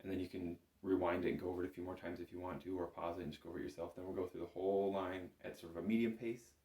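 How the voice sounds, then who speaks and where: Low-voiced man teaching in an echoey room. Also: level tone, racing, darkish tone. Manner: patient, informal, step-by-step. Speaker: bagpipe instructor. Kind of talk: instructional music lesson to camera.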